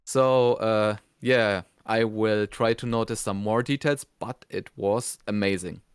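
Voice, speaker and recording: A man's voice: on the low side, measured pace, smooth, no echo, clean studio sound. A man co-hosting a review show, giving his opinions in casual conversation.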